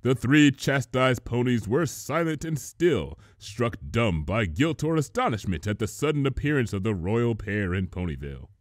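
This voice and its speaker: Casting: male story narrator, mid-pitched, expressive range, recorded close and dry. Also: moderate pace, hardly any pauses, no room sound.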